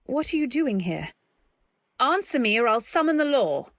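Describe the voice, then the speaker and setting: Woman acting a husky-voiced, timid young wife narrating. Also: high-pitched, very animated, fairly bright tone, dry recording, exaggerated voice. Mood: frightened, demanding. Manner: measured, literary, dramatic storytelling. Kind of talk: old-time radio drama narration.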